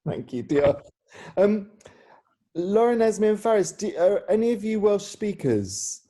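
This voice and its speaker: A woman's voice: mid-range voice, very animated, a little slow, mellow. A woman hosting an informal Q&A, asking questions politely. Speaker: host of a playwright Q&A.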